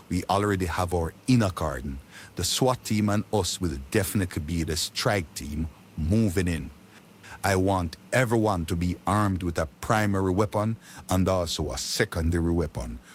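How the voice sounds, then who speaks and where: An actor playing a police commander giving officers a brisk, commanding briefing before a night raid in a skit. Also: male voice, sits low, a little hiss or hum. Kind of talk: comedy skit acting.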